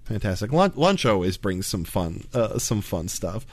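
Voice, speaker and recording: A man's voice: on the low side, sing-song, normal speed, dry recording. A man narrating as game master, chatty and rambling, with many fillers and restarts.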